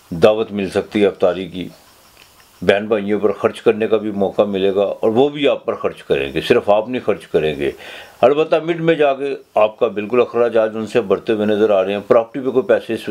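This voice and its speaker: A man's voice: on the low side, darkish tone. A male astrologer giving an unscripted weekly horoscope, with frequent fillers and restarts.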